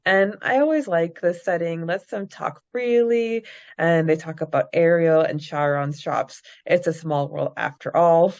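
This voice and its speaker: Lowish voiced lady podcast host, giggly casual recap chat, kinda muffled lofi audio. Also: unhurried, darkish tone. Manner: giggly, chatty. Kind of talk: casual book-club style recap chat.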